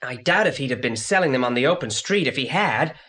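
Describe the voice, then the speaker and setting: A pompous, animated boy detective. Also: medium pitch, fast talker, breathy edge. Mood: inquisitive, analytical. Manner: pompous, precise, formal wording. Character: brainy, self-assured boy detective, formal and commanding. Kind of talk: 1980s audio play acting.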